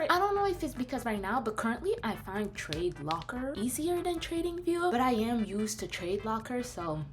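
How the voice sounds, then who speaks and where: Young french-born woman with a slight french accent, bubbly and all over the place, music going behind her. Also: medium pitch. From France. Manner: bubbly, scattered, switches into French phrases. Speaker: day trader. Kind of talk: casual vlog, screen walkthrough. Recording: background music.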